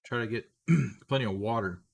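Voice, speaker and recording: A man in his forties with a tiring, slightly hoarse voice, casually hosting a live sports stream as a folksy, excitable fan. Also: on the low side, normal speed. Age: 40s. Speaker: livestream host.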